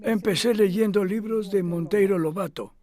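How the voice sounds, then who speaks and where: A man dubbing an elderly male scholar who recalls his past calmly, in measured speech with hesitant pauses. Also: sits high, close to an everyday voice. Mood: reflective. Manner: measured, thoughtful, with hesitant fillers. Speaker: dub voice of an interviewee. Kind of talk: voice-over dub of an interview.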